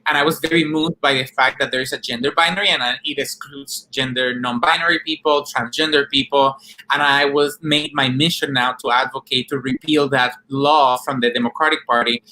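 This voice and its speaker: A man's voice: medium pitch, fairly even intonation, fairly quick, sharp tone, a little hiss or hum, low-quality recording. A man from Rico, answering earnestly as a documentary director and activist in an interview. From Puerto Rico.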